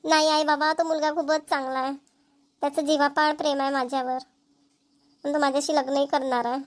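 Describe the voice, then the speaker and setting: A woman's voice: sits high, flat delivery, a little fast, lightly acted. A woman voicing an earnest, stubborn young village woman in a Marathi cartoon, with a rural Maharashtrian accent. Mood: earnest pleading. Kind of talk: amateur cartoon comedy-drama dubbing.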